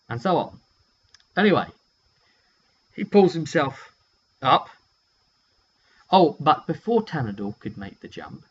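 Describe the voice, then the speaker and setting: A British man casually telling a story in an animated, rambling way, drifting into asides as he goes. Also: medium pitch. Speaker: tabletop game player recounting a session. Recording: muffled lo-fi audio with hum.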